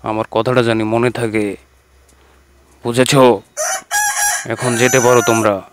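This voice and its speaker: A man's voice: mid-range voice, flat delivery, unhurried, lightly acted. Authoritative forest sage for kids' cartoon, played by a man, protective, level delivery, slightly noisy recording. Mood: stern, protective. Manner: admonishing, authoritative. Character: male holy hermit, stern, protective forest sage. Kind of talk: children's fairy-tale cartoon acting.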